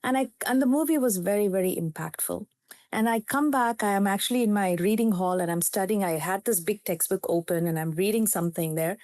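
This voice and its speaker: A woman in her fifties with an Indian English accent, her first language Telugu, speaks as a psychiatrist guest. She tells her story in an earnest, reflective way. Her voice sits at a middling pitch and sounds dry and close, with no room echo. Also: fairly quick, darkish tone. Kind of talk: storytelling in a podcast interview.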